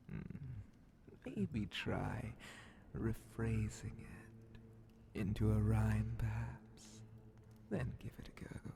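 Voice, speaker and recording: A sly demon grand vizier speaks in a low, gravelly half-whisper, drawing out his words very slowly. He is mockingly polite and quietly threatening, in the manner of an ASMR horror fantasy roleplay, with faint noise behind the voice. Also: exaggerated voice. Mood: mocking, amused. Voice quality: breathy, gravelly, often dropping to a whisper. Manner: slow, theatrical, condescending, half-whispered menace.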